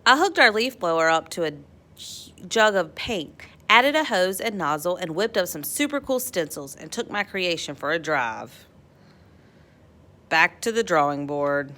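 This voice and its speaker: A woman reading a children's picture book aloud as narrator, with playful dramatic emphasis and drawn-out words. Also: lowish voice, expressive, pushed and bright. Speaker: storybook read-aloud narrator.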